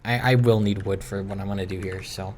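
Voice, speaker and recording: A gaming video creator chatting casually while playing, rambling in a hesitant, halting way and often trailing off. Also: sits low, fairly even intonation, a little mellow. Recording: clearly noisy, with clicks.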